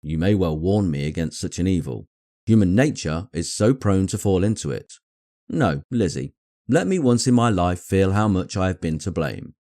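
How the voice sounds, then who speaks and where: Man reading as a deep, sardonic English father. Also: moderate pace, no room sound, clean studio sound. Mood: rueful. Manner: deadpan, wry, mock-stern. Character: dry, sardonic father, a middle-aged English gentleman. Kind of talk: acted dialogue in audiobook reading.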